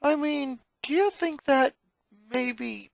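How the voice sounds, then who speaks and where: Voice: sits high, slow, vocal fry, dry recording, pitched above the performer's own voice, exaggerated voice. A story reader voicing an anxious, scheming boy who speaks hesitantly and carefully. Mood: cautious. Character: anxious, scheming middle-child boy, tentative and sheepish.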